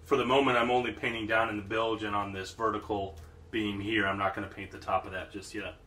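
A man's voice: fairly low pitch, little pitch movement, a little fast, sharp tone, echoey room, slight background noise. A man giving a plain, matter-of-fact how-to narration about work on his sailboat. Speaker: vlogger refitting his sailboat. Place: aboard a boat in a marina.

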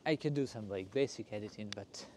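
A man hosting a walking tour in a casual, unscripted way, speaking in halting, broken phrases outdoors on a city street. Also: on the low side. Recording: noisy outdoor street with traffic. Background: street ambience and passing vehicles.

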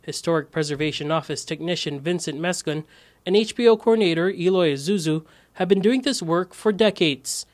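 Young male reporter, fairly high voice, steady scripted news read. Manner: measured, clear reporting delivery. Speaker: news correspondent.

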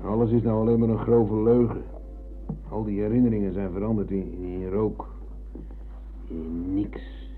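An actor playing a rambling, philosophising older husband and embittered war veteran in a radio drama. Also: male voice, fairly low pitch, little pitch movement, measured pace, pauses often, faint music behind. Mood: bitter, brooding. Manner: philosophising, theatrical, ironic. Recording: tinny, narrow-band old radio recording.

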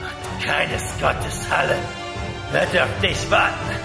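A man playing a grim, defiant barbarian warrior in a fantasy audio drama, with a breathy growl and a menacing, dramatic delivery. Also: on the high side, fairly even intonation, slow pace, exaggerated voice. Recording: echoing voice under loud music. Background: loud dramatic music.

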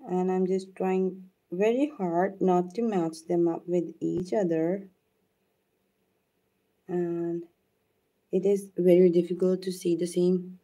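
A woman whose first language is Urdu, gently talking viewers through a nail art demonstration and thanking them by name. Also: lowish voice. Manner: soft-spoken, unhurried, thanks viewers by name. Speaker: nail art tutorial host. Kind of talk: live nail art demonstration with chat shout-outs.

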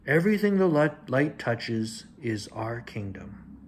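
A man's voice: mid-range voice, very animated, measured pace, a little hiss or hum. A man voicing a solemn, protective lion king father in a storybook, grave and instructive. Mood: proud.

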